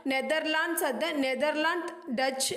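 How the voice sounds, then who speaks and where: A woman's voice: high-pitched, unhurried, a bit bright. A woman with an Indian accent reading study notes aloud in a choppy way, dropping small connecting words. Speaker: presenter of an educational video. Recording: echoey room.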